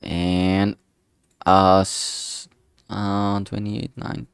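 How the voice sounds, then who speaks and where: A man thinking aloud in a halting way, chatting casually on a solo livestream as he works. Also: on the low side, little pitch movement, vocal fry, smooth, dry recording, clean studio sound. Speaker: streamer making a visual novel. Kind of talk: casual solo livestream chat while working.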